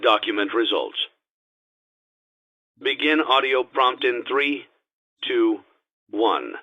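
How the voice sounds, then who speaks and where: A man voicing a calm, grave instructor on cassette training tapes for a video game, speaking formally in a measured, matter-of-fact and ominous way. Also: medium pitch. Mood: procedural, detached. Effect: cassette tape filter. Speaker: instructional tape narrator. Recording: dull, lo-fi cassette tape sound.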